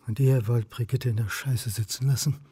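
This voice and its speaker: A man's voice: medium pitch, steady tone, moderate pace, mellow, breathy edge. An actor playing a brooding, stubborn male historian in a radio crime drama, pressing for answers. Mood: angry, demanding. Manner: insistent, interrogating. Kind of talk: radio crime drama acting and inner monologue.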